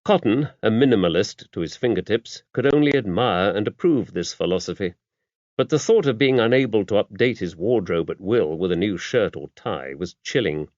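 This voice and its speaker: Male audiobook narrator, lowish pitch, scripted mystery fiction, dry close sound, slightly dull recording. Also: animated, moderate pace, darkish tone, dry recording. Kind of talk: scripted mystery novel narration with character dialogue.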